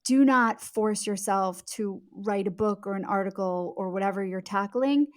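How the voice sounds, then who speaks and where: A woman's voice: medium pitch, normal speed, darkish tone. A middle-aged woman speaking as a guest author in a podcast interview, explaining things in an emphatic, conversational way.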